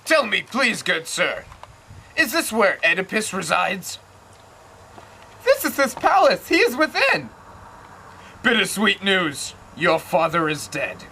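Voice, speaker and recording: A young man playing an earnest counsellor and messenger in an amateur puppet-show tragedy, with deliberate recitation. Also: high-pitched, wildly swooping pitch, creaky, sharp tone, slight background noise, heavily acted. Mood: solemn.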